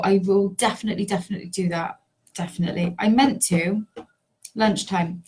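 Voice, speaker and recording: A woman with a sore, hoarse voice, a life coach chatting casually and rambling with many ums and little laughs. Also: mid-range voice, darkish tone. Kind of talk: casual talk to camera.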